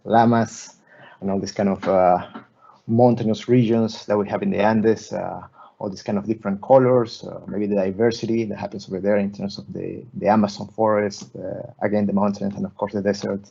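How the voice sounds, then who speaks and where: Gravelly man on a call. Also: fairly low pitch, little pitch movement, warm tone. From Peru. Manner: halting, frequent restarts and repeated words. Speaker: guest architect lecturer. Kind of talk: online lecture presenting slides. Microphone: video call. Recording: muffled video call audio.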